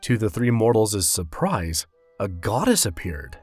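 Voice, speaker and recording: A man's voice: on the low side, sing-song, measured pace, darkish tone, husky, soft background music. A man narrating a scripted fantasy story.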